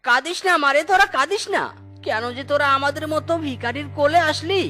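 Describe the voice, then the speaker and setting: A woman's voice: high-pitched, brisk, bright, forceful tone, soft background music. A woman voicing a poor, weary, cautious husband fox in a children's cartoon, with a plaintive manner. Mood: sorrowful.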